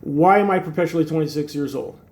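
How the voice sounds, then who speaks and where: A man's voice: a little high, quick pace, a little mellow. A man in his sixties who grew up in Turkey and speaks Turkish natively, talking casually to camera as host of his own channel, rambling with lots of fillers and wry self-deprecating humor. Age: 60s. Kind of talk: casual talking-head monologue.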